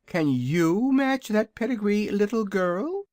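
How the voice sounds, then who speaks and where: A reader voicing a proud, hungry young dragon in a storybook, with a high, drawling, haughty manner. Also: lively intonation, slow, a little mellow, no echo, clean studio sound. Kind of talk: audiobook character voice.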